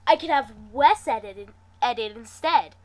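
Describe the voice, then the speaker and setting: Woman putting on a whiny, flustered brother, high-pitched. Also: expressive, measured pace. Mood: retorting. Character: complaining, easily flustered brother in a friend group.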